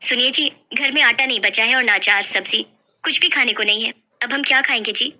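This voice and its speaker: A woman's voice: fairly high pitch, fairly quick, sharp tone. A woman voicing a poor, devoted village wife and mother, pleading and deferential, in a folk story. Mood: worried. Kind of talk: dramatized Hindi folk story acting.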